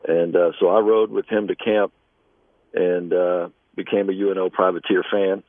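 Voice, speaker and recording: A man speaking as an interviewed basketball coach, conversational and measured, with halting pauses. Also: low voice, little pitch movement, dry recording, phone-quality sound.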